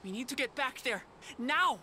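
A woman's voice: high-pitched, expressive, moderate pace, bright, forceful tone, a little hiss or hum, exaggerated voice. A woman voicing an earnest young lion-woman warrior princess in a video game cutscene. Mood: alarmed.

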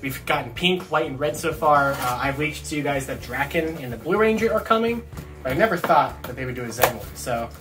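A man's voice: mid-range voice, lively intonation, fairly quick, over music. A young man giving a casual, enthusiastic unboxing review to camera in an informal, chatty way. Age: young adult. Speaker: toy review vlogger. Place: small dorm bedroom, indoors.

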